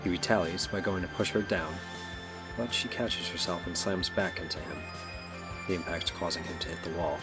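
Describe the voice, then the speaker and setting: A man's voice: low-pitched, fairly even intonation, normal speed, over loud music. A man narrating scripted action scenes for a superhero audio drama.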